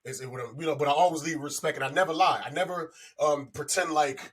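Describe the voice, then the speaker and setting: A man in his forties with a fairly high, husky voice. He chats casually in slangy, profane language. He tends to repeat words as he tells personal stories. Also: fairly even intonation, quick pace. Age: 40s. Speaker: podcast guest. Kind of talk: casual chat, personal anecdotes.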